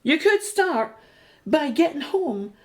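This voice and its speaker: A practical, sceptical middle-aged British wife in a radio drama. Her voice is high and very animated, full of exasperation and sarcasm. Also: takes it slowly, heavily acted. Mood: sarcastic, exasperated. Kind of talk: radio play acting.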